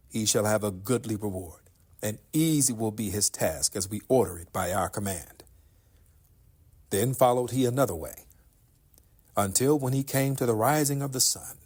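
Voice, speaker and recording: A man with a slightly husky voice giving a solemn, formal reading of scripture in measured, declamatory phrases. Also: mid-range voice, a little mellow. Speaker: reader of scripture. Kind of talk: solemn scripture recitation in English translation.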